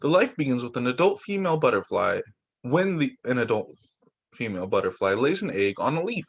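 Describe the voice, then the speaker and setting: Man teacher reading aloud, lively voice. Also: medium pitch, a little fast, breathy edge. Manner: reads aloud with asides and exclamations. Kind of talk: reading picture books aloud to children. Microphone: computer mic on a video call.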